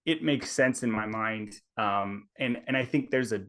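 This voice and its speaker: A man's voice: fairly low pitch, unhurried, fairly bright tone. A man being interviewed as a tech executive, explaining at length over a video call and often checking in with "right?". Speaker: tech company executive guest. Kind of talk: conversational explaining.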